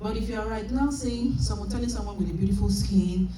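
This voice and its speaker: A woman's voice: mid-range voice, quick pace, recorded from a distance, background noise. Emphatic woman trainer in an echoey hall. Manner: animated, emphatic, laughs often. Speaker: business trainer. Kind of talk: motivational sales training talk. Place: large convention hall.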